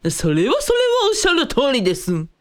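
A woman playing a flippant, wealthy male cult leader in a comic radio drama, with theatrical, over-polite speech and playful drawn-out exclamations. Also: sits high, wildly swooping pitch. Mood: playful, smug.

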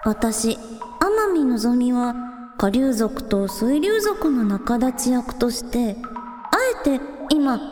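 A woman playing a caring but bossy young village idol in a radio drama, brisk and teasing as she takes charge. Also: fairly high pitch, big pitch swings, a little mellow. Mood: solemn. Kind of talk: Japanese radio drama acting. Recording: echoey reverberant sound with music.

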